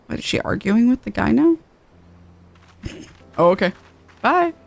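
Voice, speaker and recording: A woman's voice: on the high side, sing-song, a little slow, vocal fry. A woman giving casual, slangy livestream commentary while playing a game. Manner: slangy, informal. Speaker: game streamer.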